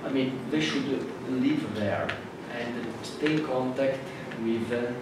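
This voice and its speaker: A man with an Italian accent, a native Italian speaker, giving a classroom lecture in a halting way with many fillers and restarts. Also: mid-range voice. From Italy. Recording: echoey hall from far off with noise.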